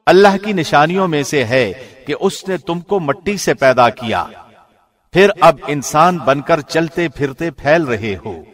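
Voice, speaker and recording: Male radio reader, calm and formal, steady measured scripture translation in a studio. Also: a little high. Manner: calm, even, formal delivery. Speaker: radio host reading a translation.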